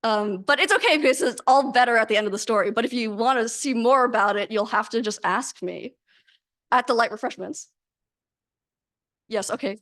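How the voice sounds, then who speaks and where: A woman closing her presentation in a bubbly, quick manner. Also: on the high side, a bit bright. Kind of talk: wrapping up a presentation.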